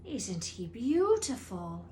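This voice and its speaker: A woman voicing a big, excitable male gamekeeper in a storybook, eager, folksy, rambling and doting. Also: a little high, slow pace, slight background noise.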